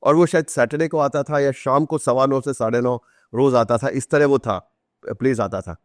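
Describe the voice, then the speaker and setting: A man's voice: mid-range voice, moderate pace, dry recording, clean studio sound. A man with an Indian accent explains and tells anecdotes in conversation as an interview guest, emphatically, repeating phrases, asking rhetorical questions and slipping into English. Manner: mixes Hindi with English phrases.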